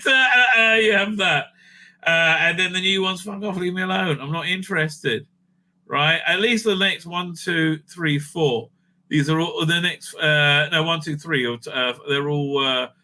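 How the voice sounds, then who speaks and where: A man with a strikingly bright, forceful voice, pitched a bit high, hosts a loose, rambling review. He is blunt and opinionated, swears freely and repeats phrases to hammer his verdicts home. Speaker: host of a fan review show. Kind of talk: casual rambling review and ranking commentary.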